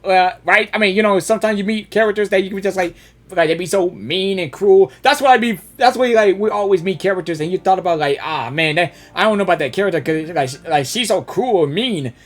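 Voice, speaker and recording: A woman's voice: medium pitch, quick pace. A woman gamer giving casual reaction commentary, rambling, repeating words and exclaiming as she goes. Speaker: gamer reacting to a story quest. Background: game music.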